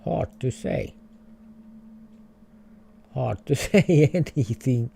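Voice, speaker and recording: A man's voice: mid-range voice, expressive, drawn-out words, creaky, mellow, slight background noise. A man with a Finnish accent, streaming a geography game and thinking aloud in hesitant, chuckling English. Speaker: livestreamer. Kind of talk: casual game livestream commentary.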